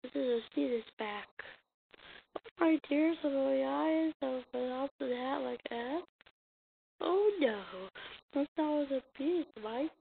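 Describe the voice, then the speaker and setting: A woman acting out comic dialogue as an amateur dub, halting and stumbling over the lines. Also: high voice, vocal fry. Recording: muffled lo-fi mic with hiss.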